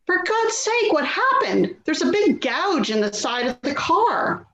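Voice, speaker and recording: A woman's voice: extremely high pitch, big pitch swings, a little slow, a bit bright, exaggerated voice. A woman playing an exasperated, worrying adult daughter in a readers theater play, nagging and put-upon, recorded in an echoey room. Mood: alarmed, nagging. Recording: echoey room, dull sound, faint noise.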